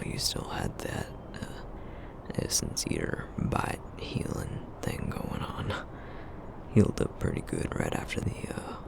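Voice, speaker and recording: A man voicing a gentle sorcerer boyfriend with a Southern accent, speaking softly and hesitantly with many fillers in an ASMR roleplay. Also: rumbling low, gravelly, heavily acted. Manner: soft, hesitant, halting with fillers. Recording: lo-fi phone-like sound with loud noise.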